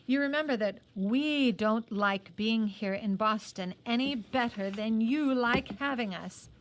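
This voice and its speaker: A woman's voice: a little high, natural voice, poor audio. Woman reading as an earnest older army medical officer. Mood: earnest, conciliatory. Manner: measured, earnest, conciliatory. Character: older male British army medical officer, civil and serious.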